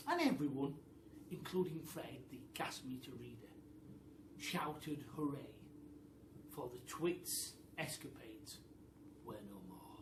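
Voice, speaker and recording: A man with a British accent telling a story to children like a primary school teacher, expressive and addressing them directly. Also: fairly high pitch. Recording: echoey room, distant mic, faint noise.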